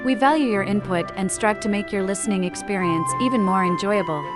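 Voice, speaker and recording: Casting: female announcer, middle-pitched, scripted outro read over a clear music bed. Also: brisk.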